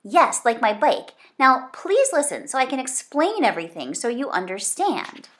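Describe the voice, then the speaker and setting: A woman's voice: high voice, sing-song, normal speed, a bit bright, slight background noise, voice pushed up. Woman as an eager, anxious young boy. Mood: excited, insistent. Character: young boy narrator, anxious, eager space-show fan.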